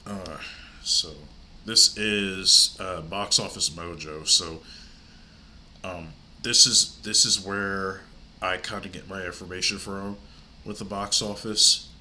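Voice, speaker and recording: A man's voice: low voice, bright, forceful tone, slight background noise, poor audio. An older man with a stuffy, congested nose, hosting his movie channel from home in a rambling, chatty way. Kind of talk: casual vlog chat.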